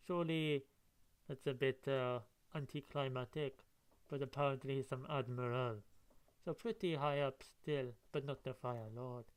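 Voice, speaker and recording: A man's voice: medium pitch, clear, resonant tone, poor audio. A man reading a comic aloud and commenting on it casually, with musing, rambling asides. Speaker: comic book reader and reviewer. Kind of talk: casual read-along with running commentary.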